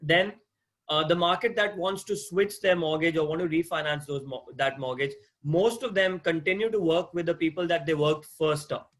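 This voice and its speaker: A man's voice: fairly high pitch, moderate pace, fairly bright tone. A man in his thirties with a non-native English accent, lecturing a class over a video call, talking conversationally, asking questions and restarting phrases. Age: 30s. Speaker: guest lecturer, mortgage agent.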